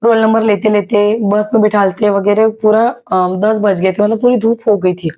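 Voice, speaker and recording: Young woman vlogging, bit hoarse from a sore throat, mellow tone, lots of umms, chatty. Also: mid-range voice, little pitch movement, normal speed. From Maharashtra, India. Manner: chatty, many hesitations and English words mixed in. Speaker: YouTuber sharing her exam experience. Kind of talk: casual vlog storytelling.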